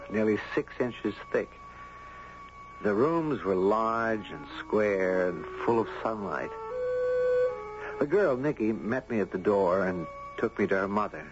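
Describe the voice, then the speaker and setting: An actor playing an earnest, inquisitive man in a 1970s radio drama. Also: lowish voice. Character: earnest, inquisitive man, entranced by a strange girl. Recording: muffled, noisy 1970s radio recording.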